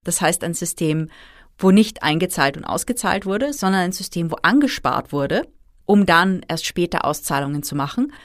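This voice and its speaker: Low-voiced woman explaining finance. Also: no room sound, studio quality. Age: 30s. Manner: explanatory, methodical. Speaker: finance expert guest. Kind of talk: interview answers.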